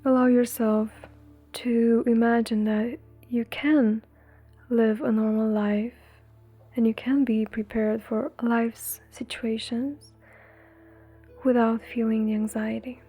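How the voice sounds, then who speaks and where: A woman leading a guided meditation in a soothing, breathy, gentle way, leaving long pauses between phrases. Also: on the high side, flat delivery. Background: faint music.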